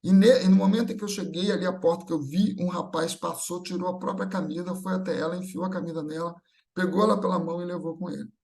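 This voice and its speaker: A man's voice: high voice, steady tone, quick pace, creaky, a little mellow. A Brazilian man gives an informal religious talk, conversational, telling anecdotes with bits of acted-out dialogue and often checking for agreement. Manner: conversational, often seeking agreement with short tag questions; acts out everyday dialogue in anecdotes. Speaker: speaker at a Spiritist gathering.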